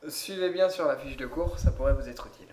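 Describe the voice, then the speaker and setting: Homme, son résonnant et bruité. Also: male voice, medium pitch, quick pace. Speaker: co-host of a Korean language lesson. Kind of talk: casual teaching chat. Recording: echoey room with background noise.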